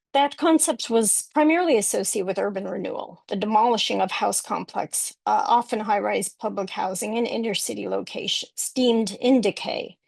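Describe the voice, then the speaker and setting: A woman speaks over a video call in a measured, reflective way, pausing often on small fillers. Her mid-pitched voice has a noticeable gravelly fry. The sound is dry and close, with no room around it. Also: a little mellow, no echo. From Chicago, United States. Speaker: academic panelist. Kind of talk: webinar presentation.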